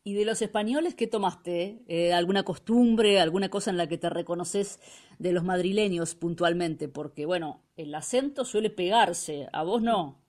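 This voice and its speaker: Argentine female radio host, lowish voice, warm curious questioning. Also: moderate pace. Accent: Argentine Spanish. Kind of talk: radio interview.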